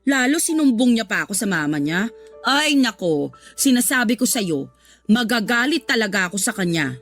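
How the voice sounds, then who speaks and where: An actress playing a sassy, indignant and supportive woman friend in a radio drama, talking colloquially with expressive exclamations. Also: fairly high pitch, moderate pace, soft background music, heavily acted. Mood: indignant, firm. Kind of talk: Tagalog radio drama acting.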